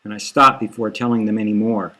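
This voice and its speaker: A man's voice: lowish voice, fairly even intonation, brisk, pushed and bright. A man reading scripted first-person story narration as the author of the novel.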